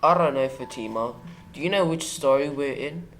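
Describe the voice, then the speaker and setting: A man's voice: medium pitch, normal speed, a bit bright, faint music behind, close to an everyday voice. A male performer playing a keen, helpful boy hero in an amateur school radio play. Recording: faint noise, birdsong behind.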